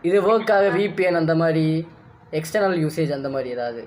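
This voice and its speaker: A man's voice: a little high, animated, fairly quick, darkish tone, lightly acted, low-quality recording. A man playing a patient computer support worker, asking troubleshooting questions in a casual phone chat. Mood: patient, questioning. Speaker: prank caller.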